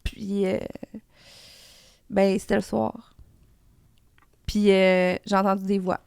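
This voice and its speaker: A young woman from Quebec with a Quebec French accent, a guest in a casual comedic interview who teases in a deadpan way. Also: mid-range voice, slow, clear, resonant tone, no room sound, clean studio sound. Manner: playful, deadpan teasing.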